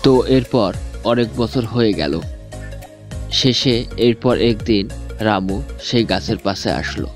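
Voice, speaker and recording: A man's voice: on the low side, takes it slowly. A man telling a scripted children's fairy tale as the storyteller. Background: music.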